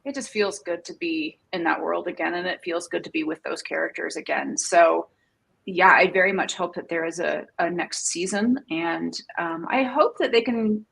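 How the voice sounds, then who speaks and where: A woman's voice: on the low side, normal speed, creaky, fairly bright tone, breathy edge. A woman speaking casually as a panelist, sharing her opinions in a fan discussion.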